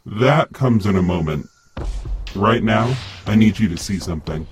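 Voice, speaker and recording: A man playing a masked superhero in an audio drama, speaking in a lowered, disguised voice. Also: animated, moderate pace, loud music behind the voice, close to an everyday voice. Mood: commanding, guarded. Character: masked young male superhero using a voice changer.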